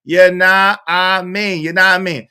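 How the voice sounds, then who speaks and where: Bright-voiced slangy man in his forties. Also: high-pitched, flat delivery, moderate pace, smooth. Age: 40s. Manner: slangy, repetitive, reads viewer comments aloud. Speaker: live-stream host. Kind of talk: casual live-stream commentary reading chat.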